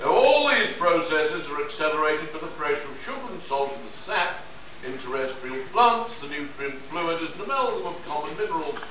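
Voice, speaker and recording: A man's voice: a little high, animated, normal speed, pushed and bright. Man acting a pompous detective-scientist, distant and echoey. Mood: pompous lecturing. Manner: pedantic, condescending, stumbling over script. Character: know-it-all male scientist detective lecturing on alien plants. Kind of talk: live amateur radio-play reading at a convention. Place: convention room, distant microphone. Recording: noisy echoey hall recording from far off.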